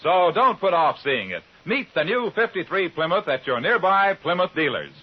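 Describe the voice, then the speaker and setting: American guy doing an old radio ad, very lively, rough-edged voice, muffled sound. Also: high-pitched, moderate pace. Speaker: commercial announcer. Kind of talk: 1950s radio advertisement.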